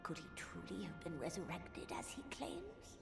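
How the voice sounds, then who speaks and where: A woman's voice: on the low side, huge pitch swings, moderate pace, creaky, full character voice. An actress playing a poised, shrewd woman in a fantasy game, speaking with understated, faintly wry deliberation. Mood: questioning, wry. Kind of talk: scripted fantasy game voice acting. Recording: echoey hall reverb, game music behind.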